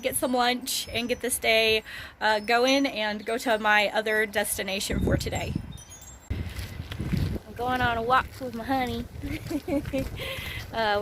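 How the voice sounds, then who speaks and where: A hoarse woman chats through her daily vlog, her voice sitting a little high. She wanders from thought to thought with lots of ums. It is a noisy phone recording. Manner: chatty, rambling with many ums. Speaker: vlogger. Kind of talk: casual daily vlog to camera. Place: outdoors on a rural road, wind.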